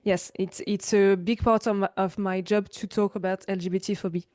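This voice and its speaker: French-accented woman, hesitant and smooth. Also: medium pitch, steady tone, a little fast, darkish tone, no echo, clean studio sound. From France. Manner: hesitant, apologetic, searching for words. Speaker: sex educator, panelist. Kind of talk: online panel introduction. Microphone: video call.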